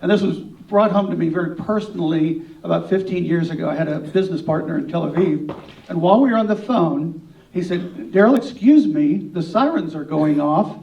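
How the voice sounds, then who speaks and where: Male candidate, earnest, gravelly, on a dull, distant recording. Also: a little high, a little fast, mellow. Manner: earnest, personal. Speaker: congressional candidate. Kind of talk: candidate forum answer. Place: event hall. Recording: dull, echoey hall from far off.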